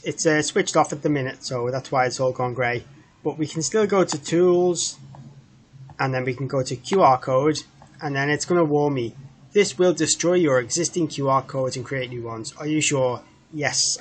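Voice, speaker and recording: A man's voice: medium pitch, moderate pace. A man giving a casual, step-by-step product walkthrough as a demonstrator, in an informal, conversational way.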